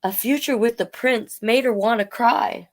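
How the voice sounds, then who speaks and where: A woman hosting a storytime, reading a picture book aloud with chatty, gently encouraging asides to listeners. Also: on the high side, moderate pace. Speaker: children's storytime reader and host.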